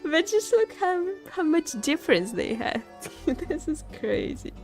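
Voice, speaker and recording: A woman's voice: very high voice, big pitch swings, measured pace, a little mellow. Playful woman livestreaming over game music. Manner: playful, teasing, rambling, repeats phrases. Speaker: VTuber streamer. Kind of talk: casual livestream game chat and reactions. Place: indoor home streaming setup.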